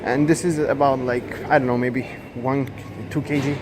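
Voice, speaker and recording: A young man in his twenties with a Turkish accent, filming a casual vlog on his phone as he walks through a supermarket, talking haltingly with many fillers and self-corrections. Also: mid-range voice, flat delivery, background noise. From Turkey. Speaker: vlogger. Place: large supermarket indoors. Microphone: handheld phone camera. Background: store ambience.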